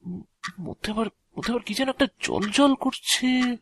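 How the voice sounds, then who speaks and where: A man playing a nervous, bewildered everyman in an audio drama, halting and stammering as he asks questions. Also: very high voice, husky, heavily acted. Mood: bewildered, hesitant. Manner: halting, stammering, repeats words. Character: ordinary man facing death, nervous, bewildered, inquisitive. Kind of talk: Bengali audio drama acting.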